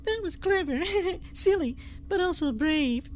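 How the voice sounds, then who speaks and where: Coy space-traveling girl, extremely high, slow, hesitant, comic serial, faint music. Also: expressive. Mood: admiring. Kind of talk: comic sci-fi audio serial acting.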